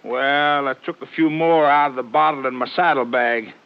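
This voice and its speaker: An actor playing a hard-of-hearing, befuddled country ranch hand in a 1950s radio drama, folksy and rambling. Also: male voice, medium pitch, fairly even intonation, moderate pace, smooth, lightly acted. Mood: defensive, foggy. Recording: muffled old radio recording.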